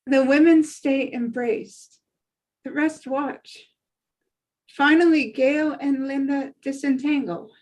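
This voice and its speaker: A woman reading screenplay stage directions as scripted narration in a measured way. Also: sits high, steady tone, darkish tone, poor audio.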